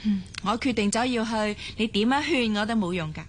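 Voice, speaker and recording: A woman's voice: a little high, brisk, a little hiss or hum, lightly acted. A woman acting in a Hong Kong Cantonese radio drama as a friendly, curious and determined young surgeon.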